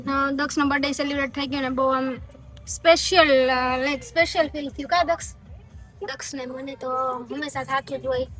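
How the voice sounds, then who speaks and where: A woman's voice: sits high, a bit bright. A young woman chatting casually as a vlogger, teasing and dropping in English words. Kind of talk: casual vlog chat.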